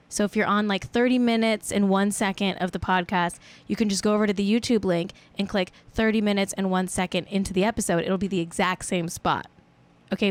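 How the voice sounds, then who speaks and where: A woman in her late twenties hosting a live stream show, chatting in a rambling, playful, self-mocking way. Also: medium pitch. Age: 20s. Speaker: show host. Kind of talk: casual livestream chat.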